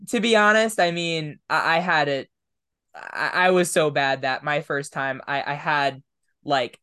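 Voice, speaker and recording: A man's voice: on the high side, unhurried, bright, forceful tone, clean tone. A man hosting a podcast interview in a casual, conversational style.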